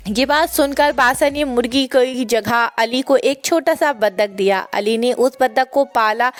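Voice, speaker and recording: A woman narrating a moral story for children as a scripted reading, hosting her own storytelling channel. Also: fairly high pitch. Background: background music.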